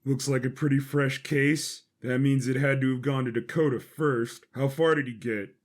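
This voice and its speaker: A man voicing a world-weary, blunt bounty hunter with a deadpan manner in an audio story. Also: medium pitch, moderate pace, lightly acted. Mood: businesslike, dry.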